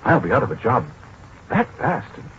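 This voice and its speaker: Flustered, wildly expressive American scientist. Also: male voice, mid-range voice, moderate pace, a little hiss or hum. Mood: aggrieved, despairing. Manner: hesitant, fussy, excitable. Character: flustered, exasperated middle-aged scientist, fussy and aggrieved. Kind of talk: 1940s radio drama acting. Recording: muffled 1940s radio broadcast with music.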